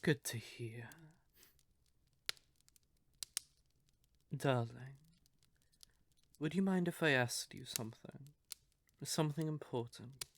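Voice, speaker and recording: A man playing a courtly, possessive vampire lover in an audio roleplay, speaking theatrically and deliberately with syrupy endearments and breathy, quietly menacing undertones. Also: medium pitch, slight background noise, close to an everyday voice. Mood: tender, guarded. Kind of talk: scripted audio roleplay addressed to the listener.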